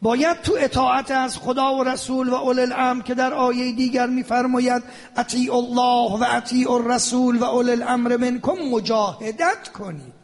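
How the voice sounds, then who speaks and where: A man's voice: very high voice, steady tone. A man with an Iranian Persian accent preaching a fiery, emphatic sermon, repeating phrases insistently and asking rhetorical questions. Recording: long reverberant hall sound.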